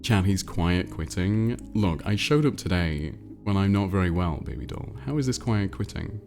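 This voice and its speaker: Male livestream host, low and creaky, stuffed-up nose, rambling casual chat, faint music behind. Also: animated, moderate pace, a little mellow. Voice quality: nasal, congested. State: congested with a cold. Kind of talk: casual livestream chat, reading viewer messages aloud.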